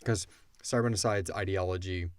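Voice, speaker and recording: A man's voice: sits low, normal speed, no room sound. A man hosting a podcast, casually discussing a story and acting out bits of dialogue as he retells it. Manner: animated retelling, acts out characters' lines. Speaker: podcast host and audio drama creator answering listener questions.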